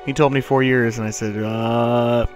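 A man's voice: medium pitch, fairly even intonation, a little slow. A young man, a voice actor, telling rambling casual anecdotes in an interview. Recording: music bed underneath.

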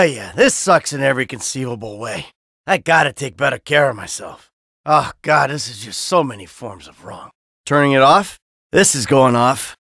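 A man's voice: a little high, gravelly, pushed and bright, no room sound, studio quality, exaggerated voice. An actor playing a sarcastic, hot-tempered, middle-aged American man in a midlife crisis, in short exasperated video game lines. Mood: weary, disgusted. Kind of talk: video game character barks.

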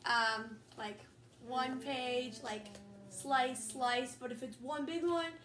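A woman's voice: high-pitched, fairly even intonation, slow, a bit bright. A young girl chatting to viewers and reading a storybook aloud. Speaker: host and storybook reader. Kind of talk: casual vlog and read-aloud storytelling. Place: echoey room, some distance from mic. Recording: roomy echo, faint background noise.

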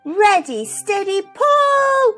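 A playful tiger pal from a picture book, voiced by a woman. The voice is high and lively, bossing friends along with exclamatory, rallying calls. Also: very slow, soft background music, heavily acted. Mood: keen. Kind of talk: storybook read-aloud character voice.

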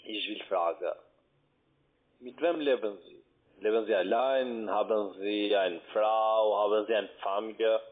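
A man with a foreign accent, speaking halting, broken phrases in a phone conversation as a call centre agent. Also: on the low side. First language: not German. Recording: tinny, narrow phone line.

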